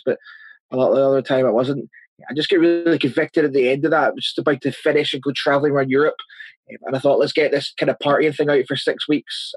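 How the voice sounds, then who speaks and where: A man's voice: medium pitch, no room sound, low-quality recording. A man in his thirties with a Northern Irish accent, answering interview questions as a church planter, earnest and chatty, with frequent restarts. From Northern Ireland.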